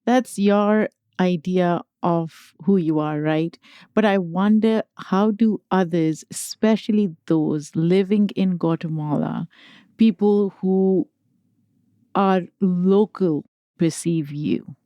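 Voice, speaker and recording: A woman hosting a podcast, asking interview questions in a slow, deliberate way. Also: on the low side, dark tone, smooth, no echo, muffled recording. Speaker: podcast host.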